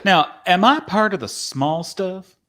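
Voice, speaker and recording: A man playing a folksy, gentlemanly old cowboy in a dramatic play reading. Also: high voice, expressive, measured pace, heavily acted. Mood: gently teasing. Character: folksy, polite old cowboy figure living on a wall.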